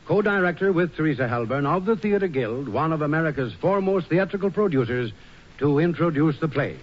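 A man's voice: on the high side, expressive, a little fast. A man announcing formally in the style of 1940s network radio. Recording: dull 1940s radio recording. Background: music.

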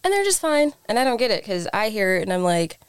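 A woman's voice: medium pitch, very animated, quick pace, smooth, dry recording. Enthusiastic woman podcast host. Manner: enthusiastic, uses "super" and "like" often. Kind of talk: casual podcast chat.

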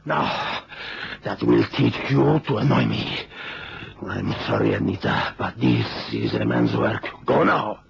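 Gravelly, menacing villain. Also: male voice, on the low side, huge pitch swings, dull audio, full character voice. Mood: irritated, cruel. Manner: deliberate, mocking, theatrical. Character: menacing, sadistic Mexican male criminal, smugly cruel. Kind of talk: 1970s radio drama acting. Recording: noisy, band-limited old radio recording.

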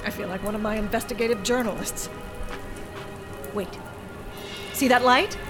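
An actress playing a sharp, skeptical, self-assured lady in a radio drama, with a wry, brisk manner. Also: fairly high pitch, music in the background, close to an everyday voice. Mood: suspicious, curious.

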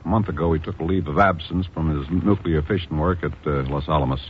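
An actor playing an earnest, worried American intelligence chief in a 1950s radio drama, gruff and urgent, on a muffled, noisy old recording. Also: male voice, extremely low pitch, fast. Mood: grave.

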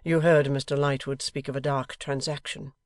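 A steady, affectionate young husband from a Victorian storybook as a man reads him. He speaks in formal, measured phrases with solemn emphasis. The pitch is middling and the sound close and dry. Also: no room sound, close to an everyday voice. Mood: grave.

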